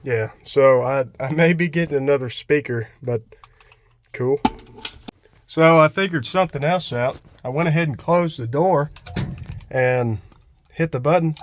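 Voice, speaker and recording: A man's voice: on the high side, unhurried, long pauses, slight background noise. A man giving a casual, unscripted hands-on DIY walkthrough, thinking aloud as he goes. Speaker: DIY vlogger. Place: in and around a pickup truck.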